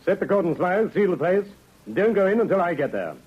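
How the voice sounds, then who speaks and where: An actor, a man, playing a stern, sceptical Scotland Yard inspector with a brisk, authoritative manner in a vintage radio drama. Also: sits high, very animated, heavy background noise. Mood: commanding. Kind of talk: 1950s radio drama acting. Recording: muffled, grainy old radio recording.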